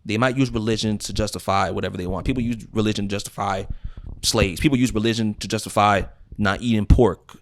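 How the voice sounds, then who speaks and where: Animated swearing podcast guy. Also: on the low side, fast. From United States. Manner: animated, swearing, many filler "like"s. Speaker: podcast co-host. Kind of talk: casual chat.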